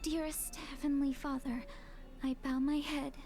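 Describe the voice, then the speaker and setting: A woman voicing a timid, obedient young girl who recites prayers haltingly in a visual novel. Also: high-pitched, level tone. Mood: timid, solemn. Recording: loud music under the voice.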